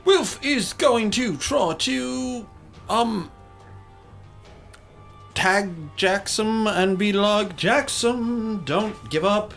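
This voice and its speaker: A man's voice: high-pitched, extremely slow, vocal fry, a bit bright. A man playing a tabletop game, talking casually through his turn at the table. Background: music.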